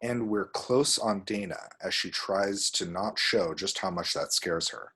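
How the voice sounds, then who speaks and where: A man reading screen directions aloud as expressive scripted narration. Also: low-pitched, unhurried, breathy edge. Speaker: reader of action descriptions.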